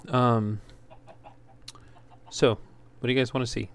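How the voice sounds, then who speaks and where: A man giving casual gameplay commentary in a halting way, as if thinking aloud while he plays. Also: mid-range voice, flat delivery. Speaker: let's player, host. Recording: clear background noise.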